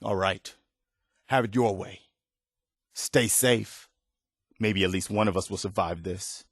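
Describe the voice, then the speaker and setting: Low-voiced, earnest militia soldier. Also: male voice, slow pace, dry recording, studio quality, heavily acted. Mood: resigned. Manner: sincere, plain-spoken, hesitant when opening up. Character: earnest, weary militia soldier, loyal and humble man. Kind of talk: video game character dialogue.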